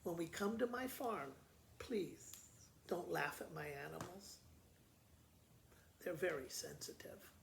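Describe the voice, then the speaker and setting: A man voicing a kindly, patient old woman in a storybook, speaking gently. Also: on the high side, expressive, vocal fry, echoey room, slight background noise, heavily acted. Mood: patient, gentle. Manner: gentle, unhurried, repeating.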